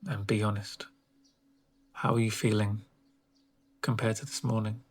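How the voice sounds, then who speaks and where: A man speaking softly and gently in an ASMR roleplay as a reassuring, unhurried doctor on a home visit. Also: lowish voice, little pitch movement, slightly rough. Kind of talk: soft-spoken ASMR roleplay.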